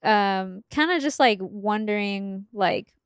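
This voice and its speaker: A woman hosting a podcast, chatting in a relaxed, agreeable way with many fillers. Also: medium pitch, slow pace, clear, resonant tone, no echo, studio quality. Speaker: podcast host. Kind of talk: casual podcast conversation.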